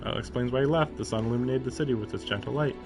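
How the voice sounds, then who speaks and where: A man's voice: mid-range voice, racing. Casual male streamer reading aloud over music. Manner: informal, questioning asides between read passages. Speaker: live streamer reading a visual novel aloud. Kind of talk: reading narration aloud with casual, puzzled running commentary.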